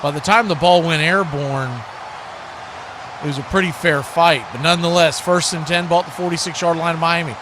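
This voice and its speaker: High-pitched American man calling football, noisy audio. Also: brisk. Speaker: play-by-play sports commentator. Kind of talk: live American football play-by-play commentary with casual asides.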